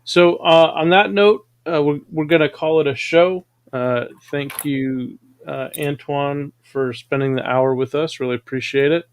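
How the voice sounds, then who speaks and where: Man hosting a relaxed livestream chat. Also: a little high, measured pace, few pauses, smooth. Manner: relaxed, reads comments aloud in a conversational way. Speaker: show host reading viewer comments.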